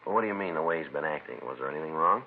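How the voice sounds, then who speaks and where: Very deep, clipped deadpan radio detective. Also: male voice, very fast. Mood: dry, official. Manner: clipped, matter-of-fact, deadpan. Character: calm, dogged male police detective and narrator. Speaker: police detective. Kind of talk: 1950s radio crime drama. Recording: tinny, noisy vintage radio recording.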